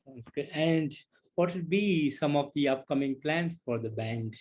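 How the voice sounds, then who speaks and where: A man's voice: on the high side, unhurried, darkish tone. A man conducting a casual music interview, encouraging the guest with short repeated affirmations.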